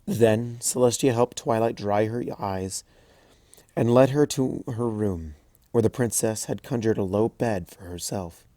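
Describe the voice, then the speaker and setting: A man reading a story aloud softly and evenly. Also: on the low side, a little slow, dry recording. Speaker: fanfiction reader.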